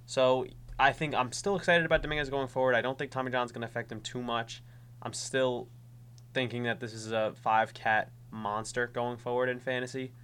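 A man's voice: mid-range voice, pushed and bright. A young man hosting a solo sports podcast, stuffy from a cold, talking casually with frequent restarts. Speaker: podcast host. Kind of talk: solo fantasy baseball podcast.

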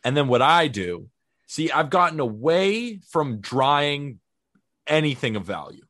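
A man co-hosting a baseball podcast, talking in an upbeat, energetic, positive way. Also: on the high side, measured pace, no echo, clean studio sound. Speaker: podcast co-host. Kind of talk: casual sports podcast chat.

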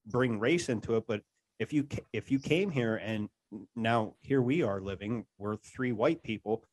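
A man with a lowish voice hosts a relaxed podcast conversation, wandering from thought to thought and cursing casually. The sound is dry and close, with no room around it. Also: normal speed, no room sound, poor audio. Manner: rambling, swears freely, trails off.

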